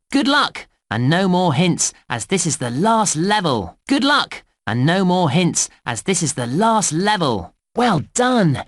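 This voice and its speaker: A British man playing a cheerful, eager theme park guide, narrating a children's game in a bouncy, clearly enunciated, encouraging way. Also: a little high, no echo, clean studio sound.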